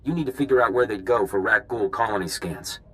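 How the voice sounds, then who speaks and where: A man playing a dutiful, practical field agent in a video game, brisk and frank. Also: lowish voice, smooth, close to an everyday voice. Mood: businesslike, focused.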